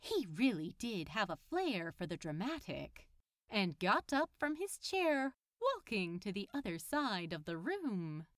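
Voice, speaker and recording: A woman's voice: medium pitch, big pitch swings, a little slow, fairly bright tone, dry recording, clean studio sound. A woman narrating a scripted piece of fiction as a storyteller. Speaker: podfic narrator.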